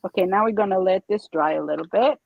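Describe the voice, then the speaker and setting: Woman, Israeli accent with New York traces, gravelly tone, chatty crafting demo host. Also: medium pitch, quick pace, no room sound, phone-quality sound. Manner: chatty, narrates her steps. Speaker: craft demonstrator. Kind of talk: live crafting demo chat.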